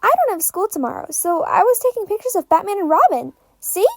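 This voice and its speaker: A woman's voice: very high voice, sing-song, fairly quick, creaky, darkish tone, no echo, pitched above the performer's own voice, full character voice. A woman voicing an earnest, naive nine-year-old boy who is lost and frightened in an audiobook-style story.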